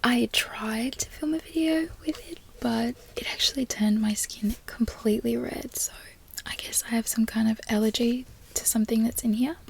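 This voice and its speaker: An American woman speaking in a soft, breathy ASMR whisper, lingering over and repeating her words. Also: fairly high pitch, steady tone, a little hiss or hum. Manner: slow, lingering repetition of words. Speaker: ASMR video creator.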